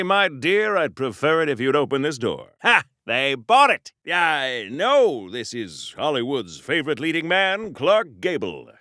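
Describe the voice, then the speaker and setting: A man's voice: sits high, bright, forceful tone, dry recording, clean studio sound, exaggerated voice. A man voicing a pompous, earnest golden-age comic-book patriotic hero for a game, declaiming theatrically in an old-time heroic serial style. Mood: sly, theatrical.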